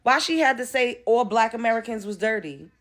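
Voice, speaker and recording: A woman's voice: on the high side, animated, brisk, fairly bright tone. A woman, ranting bluntly and profanely as an online commentator and comedian. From Brooklyn, New York. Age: 30s. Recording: roomy echo.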